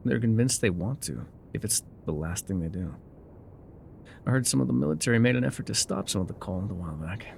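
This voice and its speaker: A man's voice: on the low side, lively intonation, quick pace, gravelly, a little mellow, slight background noise. A man playing an enigmatic, wry guide through dangerous wilds in an intimate ASMR roleplay, musing, teasing and often trailing off. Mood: grave, ominous.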